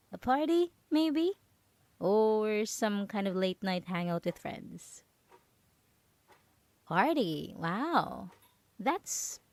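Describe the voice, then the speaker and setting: Slow-talking, nervously sweet roommate played by a woman. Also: medium pitch, dry recording. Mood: evasive, chirpy. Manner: halting, nervous, giggly, hesitant with many trailing pauses. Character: possessive roommate feigning nervous, sweet innocence. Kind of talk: ASMR roleplay audio drama monologue.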